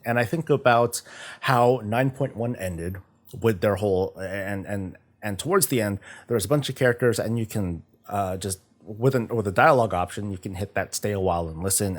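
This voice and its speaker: A man chatting casually on a gaming livestream, halting and often stumbling over repeated words. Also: on the low side. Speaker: streamer, host of a morning show. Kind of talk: casual livestream chat about games.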